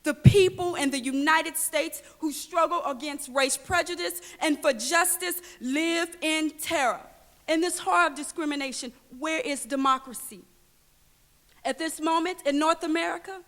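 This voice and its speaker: An actress plays a passionate woman activist with a high voice, addressing a hall with long reverb. She declaims deliberately and emphatically, defiant throughout her staged political address. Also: pushed and bright. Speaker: political speaker.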